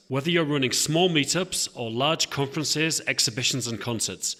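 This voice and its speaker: Host, male, bright forceful tone, upbeat delivery, voice trailing in a long reverb. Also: mid-range voice. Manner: upbeat, repeats words when restarting. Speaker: podcast host. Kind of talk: podcast intro and interview.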